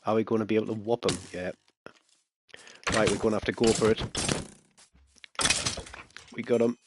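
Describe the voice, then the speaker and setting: Deep-voiced man narrating gameplay. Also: steady tone, moderate pace, noisy background. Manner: chatty, muttering to himself, bursts of alarm at mobs. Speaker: gaming channel host. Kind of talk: casual let's-play commentary.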